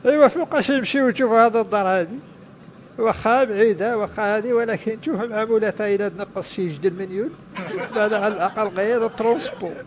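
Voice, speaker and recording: Man doing a high-pitched, feisty Moroccan older woman. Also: rapid-fire, slight background noise, lightly acted. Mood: grumbling. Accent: northern Moroccan. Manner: grumbling, argumentative. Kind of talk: comedy cartoon dialogue.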